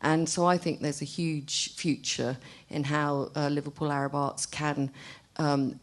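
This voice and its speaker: A woman artist speaking haltingly and off the cuff at a public discussion, often repeating words. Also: low voice, little pitch movement. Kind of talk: spontaneous remarks at a public discussion. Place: arts centre event room. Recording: live event recording.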